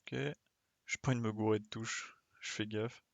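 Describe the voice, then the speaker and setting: A man's voice: on the low side, monotone, normal speed, no room sound. A man giving casual live gameplay commentary, thinking aloud and muttering to himself with small playful sound effects. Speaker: game streamer.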